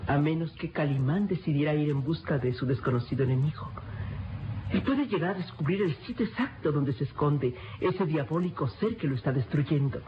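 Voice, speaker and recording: Man acting a high-voiced, foreboding adviser. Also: expressive, a little fast, background noise, lightly acted. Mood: ominous, grave. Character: grave, foreboding adviser warning of evil powers. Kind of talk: dramatic radio serial acting. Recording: dull lo-fi radio, music behind.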